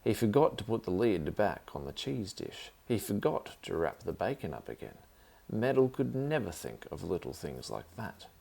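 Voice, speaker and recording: A man's voice: fairly low pitch, very animated, normal speed, vocal fry, dark tone. A man reading a children's story aloud as the narrator.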